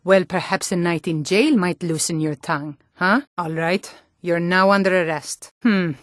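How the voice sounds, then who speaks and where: A woman's voice: lowish voice, steady tone, moderate pace, dry recording, studio quality, lightly acted. A woman playing a plain-spoken, sturdy Nord townswoman and guard in a fantasy video game, with a firm, direct manner. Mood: stern, suspicious.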